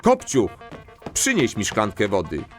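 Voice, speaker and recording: A man's voice: fairly low pitch, wildly swooping pitch, a little slow, loud music behind the voice. A man reading formal voice-over translations of slang lines in a comic audio drama. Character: formal lector with literal, proper phrasing.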